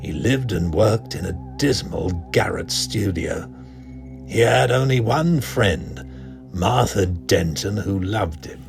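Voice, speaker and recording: A man plays a grave asylum doctor recounting a grim case in a horror audio drama. His voice is deep and gravelly, with a slow, ominous delivery. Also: lively intonation, music in the background, heavily acted. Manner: solemn, ominous. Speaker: doctor and narrator.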